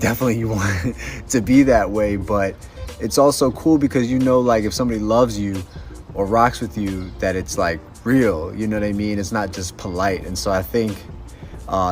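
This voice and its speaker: A man talking in a relaxed, reflective way, with easy laughs. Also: fairly low pitch. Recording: faint background music.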